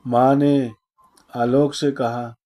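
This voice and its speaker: A man narrating a scripted moral story in a solemn, deliberate manner with frequent pauses. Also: medium pitch, flat delivery, warm tone.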